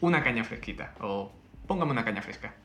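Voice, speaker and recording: A man hosting a casual chat in a relaxed, chatty way, with lots of drawn-out fillers. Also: lowish voice. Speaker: host.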